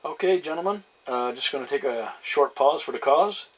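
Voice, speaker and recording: A man's voice: mid-range voice, animated, normal speed. A man chatting casually and hesitantly as a CB radio operator, making call-outs on air. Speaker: amateur CB radio operator and video host. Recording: very noisy, loud radio static. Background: CB radio noise.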